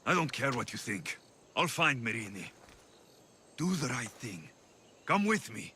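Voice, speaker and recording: A stubborn Spartan mercenary for a video game, earnest and defiant. The voice is bright and animated, with faint crickets behind it. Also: male voice, medium pitch, unhurried, a little hiss or hum. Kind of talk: dramatic video game acting.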